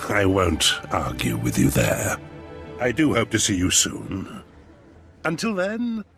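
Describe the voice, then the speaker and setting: An actor playing a haughty, scheming Sith duke in a video game, with a pompous, formal, slippery manner. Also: male voice, sits low, very animated, slow, fairly bright tone, faint music behind, heavily acted. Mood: oily deference. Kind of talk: video game cutscene acting.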